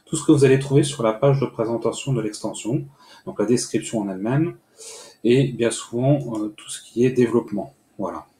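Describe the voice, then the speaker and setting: A man walking viewers through a screen-recorded software tutorial step by step, pausing often with filler sounds. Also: mid-range voice. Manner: hesitant, many "euh" fillers, step-by-step explaining. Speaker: tutorial host. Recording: echoey room with faint noise.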